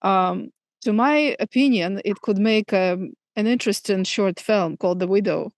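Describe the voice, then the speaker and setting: A middle-aged woman from Moscow with a Russian accent, a native Russian speaker, answering interview questions thoughtfully with hesitant pauses. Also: medium pitch, dry recording, clean studio sound. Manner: thoughtful, many fillers and restarts. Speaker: filmmaker guest.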